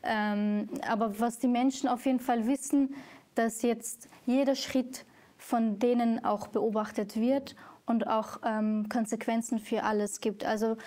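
A woman's voice: fairly high pitch, steady tone, unhurried, dark tone. A woman from Syria, with Kurdish as her first language, answering interview questions hesitantly, with many fillers and restarts. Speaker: guest, author.

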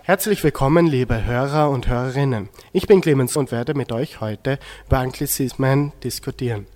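A man's voice: mid-range voice, moderate pace, a little mellow. A male teenage student with an Austrian accent, moderating a radio discussion. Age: teens. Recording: long hall-like reverb.

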